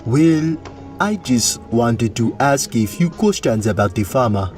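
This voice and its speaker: Man acting haughty young prince, earnest, slow deliberate delivery, swooping mid-range pitch, fantasy radio drama. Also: animated, a little mellow, natural voice. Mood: flustered. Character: proud, earnest, easily flustered young prince. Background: music.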